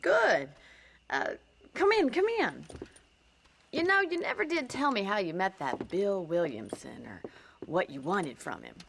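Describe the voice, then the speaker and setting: A woman's voice: medium pitch, sing-song, unhurried, creaky, fairly bright tone, a little hiss or hum, exaggerated voice. An actress playing a spirited young American ranch woman in a video game, forthright, wry and playful. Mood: friendly. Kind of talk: video game cutscene acting.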